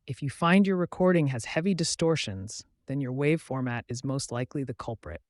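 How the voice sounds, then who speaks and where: A man narrating a scripted tutorial as its host, in a measured, instructional way. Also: medium pitch, no echo, clean studio sound.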